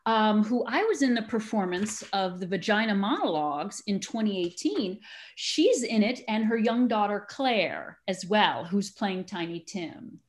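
A woman speaking as an enthusiastic, expressive interview guest, chatting casually about community theater. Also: mid-range voice. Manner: enthusiastic, expressive big personality.

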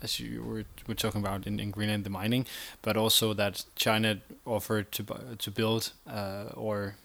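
A man's voice: lowish voice, little pitch movement, moderate pace, vocal fry, fairly bright tone. A man from Denmark speaking as a podcast co-host in casual conversation with a guest. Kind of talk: casual interview chat.

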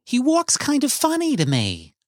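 Seeking an old immigrant wife: sharp tongue, Yiddish-American lilt, high animated delivery, storybook narration. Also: male voice, moderate pace, no room sound, clean studio sound, exaggerated voice. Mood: nettled. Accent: Yiddish-inflected American English. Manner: querulous, rhetorical questioning.

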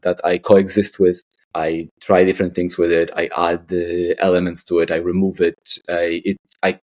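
Deep-voiced Polish man, hesitant. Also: darkish tone. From Poland. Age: late 30s. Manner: hesitant, often repeats words. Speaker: guest, artist and architect. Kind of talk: casual interview answers.

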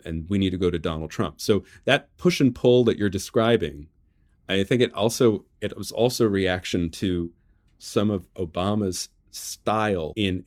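A man speaking as an interview guest, laying out political analysis conversationally in short, measured phrases, checking in with rhetorical questions. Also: deep voice. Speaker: journalist and author, interview guest.

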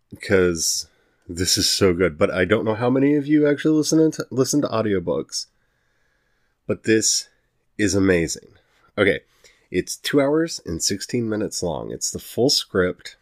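A man hosting a solo podcast, giving a casual, enthusiastic and emphatic review. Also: lowish voice. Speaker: podcast host.

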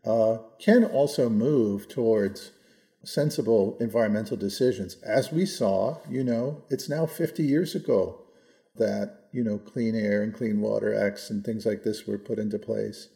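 Gravelly, low-voiced older American man. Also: dark tone. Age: 60s. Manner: measured, qualifies his points as he goes. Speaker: podcast co-host. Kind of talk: reflective policy discussion, conversational.

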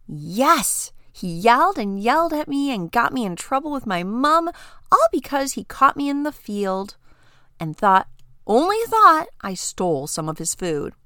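A little storybook boy, voiced by a woman, eager and full of questions. The voice jumps up high and bright with excitement, in a dry, close recording. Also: a little slow, no room sound, full character voice. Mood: indignant. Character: small, excitable, curious young boy.

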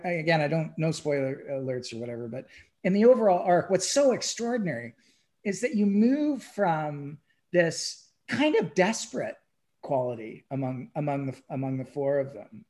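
A man in a casual, enthusiastic conversation about film, halting and repeating words and phrases for emphasis. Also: fairly high pitch, darkish tone. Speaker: podcast guest or co-host discussing a film.

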